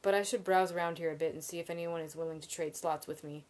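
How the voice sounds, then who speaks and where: A woman reading the lines of a quick-witted, poor young male student, with dry, wry replies. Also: on the low side, steady tone, darkish tone, close to an everyday voice.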